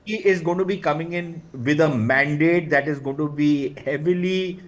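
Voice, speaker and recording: A man's voice: a little high, moderate pace. A man with an Indian accent giving blunt, scornful, opinionated analysis on a panel, arguing point by point. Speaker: political commentator on a panel.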